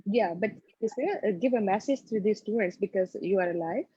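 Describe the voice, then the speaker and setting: A woman's voice: medium pitch, fairly quick, warm tone, breathy edge, no room sound. A woman hosting a live admissions session who prompts her guest with short interjections over a video call. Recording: dull dry online call audio.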